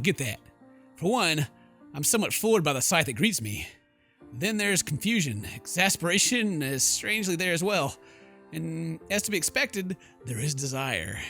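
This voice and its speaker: Bright, animated male gaming commentator. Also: a little high. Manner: goofy, slangy, theatrical exclamations. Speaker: gaming YouTuber. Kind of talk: let's play commentary, reading game text aloud.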